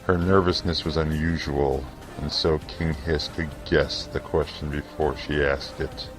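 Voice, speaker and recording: A man reading scripted fantasy narration for an audio drama in a solemn, measured, theatrical way. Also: rumbling low, steady tone, music in the background, poor audio.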